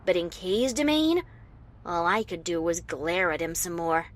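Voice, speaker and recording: A woman playing a wry, defiant adventurer heroine, narrating her story in the first person with expressive, dramatic delivery. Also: on the low side, a little slow. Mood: wry, tense.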